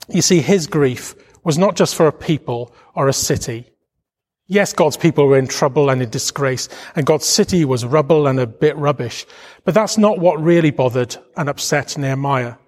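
A middle-aged man with a Welsh accent preaches in an earnest, reflective way, leaning hard on key words. His voice sits a little high for a man. It rings out with a long reverb, like a large hall. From Wales. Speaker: charity leader giving a Bible talk. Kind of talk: devotional sermon talk.

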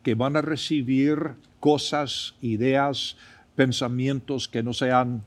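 A man hosting a conversational podcast interview, putting long questions in a thoughtful, halting way. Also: mid-range voice, steady tone.

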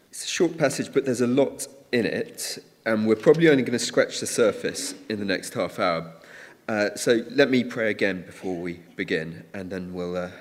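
A man preaching a sermon in a church, measured and earnest, with occasional restarts. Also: on the low side. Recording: church hall reverb.

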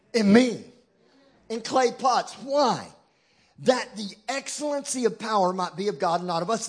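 Texan male preacher, slightly high voice, husky, punchy and playful with the crowd. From Texas. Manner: emphatic, joking, call-and-response with the crowd. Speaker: guest preacher. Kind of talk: sermon.

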